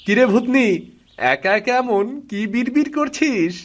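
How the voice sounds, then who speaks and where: A man's voice: extremely high pitch, normal speed, soft background music. A man voicing a mischievous, mocking older brother who teases in a children's cartoon. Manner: teasing, mocking. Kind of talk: Bengali children's cartoon dubbing.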